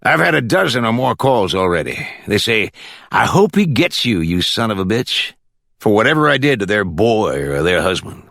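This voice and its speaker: Audiobook judge, male narrator acting: brusque and cocky, short on patience, folksy bluster, very animated delivery, close dry sound. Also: lowish voice, moderate pace, gravelly, no echo, pitched above the performer's own voice, heavily acted. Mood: irritated, boastful. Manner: curt, folksy, impatient.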